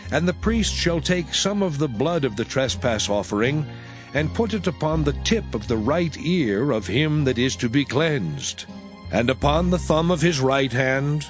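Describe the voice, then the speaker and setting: Solemn male scripture reader. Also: medium pitch. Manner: measured, solemn recitation. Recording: faint background music.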